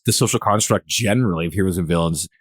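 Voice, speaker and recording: A podcast host talking casually and informally, with frequent short interjections in a relaxed discussion. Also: sits low, fast talker, husky, no echo, studio quality.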